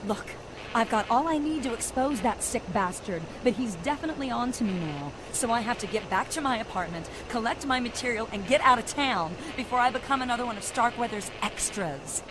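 A woman's voice: a little high, expressive, a little fast, natural voice. A woman playing a brash, agitated, ambitious reporter in a video game, urgent and indignant. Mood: urgent, determined. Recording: noisy game cutscene audio.

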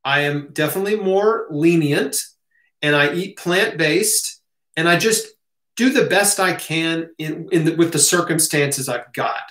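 An American man gives a casual Q&A talk in a fairly high voice. He is conversational and reassuring, punctuating his points with short check-in questions. The sound is clearly roomy, as if recorded at a desk in a home office. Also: fairly bright tone. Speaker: health educator, host. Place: small home office, indoors.

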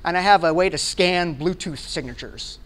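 A man's voice: a little high, moderate pace, a bit bright, slight background noise. A man in his forties with an American accent gives an informal tech demo, explaining conversationally and often checking in with his listeners. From Hawaii and Alabama, United States. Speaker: conference speaker, software product manager. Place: indoor meeting room, presenting to a group.

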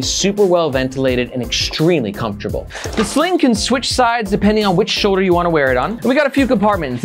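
Animated upbeat man reviewing bags. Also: high-pitched. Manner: upbeat, joking, animated with hand demos. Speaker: backpack reviewer and host. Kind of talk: casual product review to camera. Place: indoor studio set at a desk.

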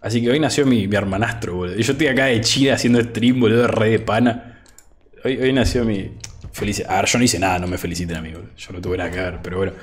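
A man's voice: lowish voice, fairly even intonation, fast talker. A young man in his twenties with an Argentine accent, chatting casually on a gaming stream in a laid-back, chatty way, with a headset mic at home. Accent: Argentine Spanish. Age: 20s. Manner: laid-back, chatty, says "boludo" often, reads chat aloud. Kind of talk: casual gaming livestream chat. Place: home room, indoors. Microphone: over-ear headset.

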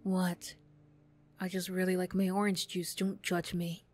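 Female actor as flustered ex-bully girl, young adult. ASMR roleplay, slow delivery, bashful stammer, defensive snap. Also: on the low side, monotone, darkish tone, faint music behind.

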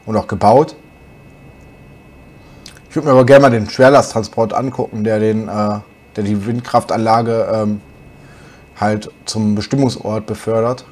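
A young man with a slight cold, hoarse, chatting casually as he plays a truck driving game for his channel. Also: medium pitch. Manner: relaxed, chatty, musing aloud while driving. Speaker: gaming channel host.

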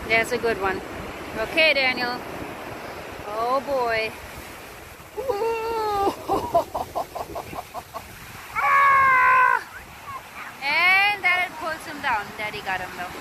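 A woman's voice: high voice, wildly swooping pitch, drawn-out words, pauses often. A woman vlogging with a handheld phone on an ocean beach, exclaiming and laughing often as she narrates. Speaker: family vlogger filming. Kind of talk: excited casual narration while filming. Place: outdoors on an ocean beach with surf. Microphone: handheld phone camera. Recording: loud surf noise behind.

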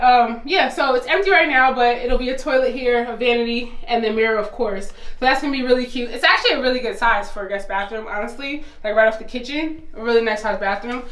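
Echo rings around a young American woman with a fairly high voice, recorded in empty rooms indoors. She talks in a casual, upbeat way, like a vlogger showing friends around her house. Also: fairly bright tone. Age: young adult. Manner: upbeat, enthusiastic. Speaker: vlogger giving a home tour. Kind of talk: casual chatty walk-through to camera.

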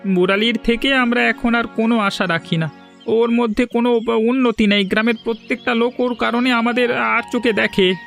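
Guy playing a bitter sneering brother in a cartoon, high gravelly voice, looks down on everyone. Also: fairly even intonation, moderate pace, a bit bright, soft background music, exaggerated voice. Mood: bitter, dismissive. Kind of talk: dramatic cartoon dialogue.

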